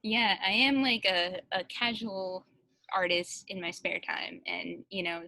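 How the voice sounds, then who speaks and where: Bright-voiced woman on a casual panel. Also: medium pitch, steady tone, unhurried, creaky, rough recording. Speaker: translator reading out an interviewee's answers. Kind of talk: casual panel chat and reading aloud.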